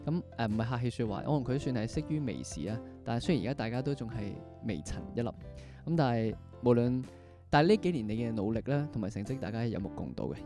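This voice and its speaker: Fast-talking Hong Kong man hosting a podcast. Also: mid-range voice. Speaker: podcast host. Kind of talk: conversational interview hosting.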